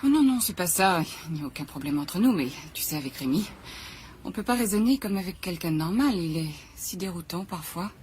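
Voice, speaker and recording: A woman's voice: on the low side, expressive, brisk, darkish tone, lightly acted. An actress playing an anxious, suspicious but proud wife in a French radio drama, nervous and apologetic as she corrects herself. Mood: worried. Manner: nervous, self-correcting, shifting from firm to faint. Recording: clearly audible background noise.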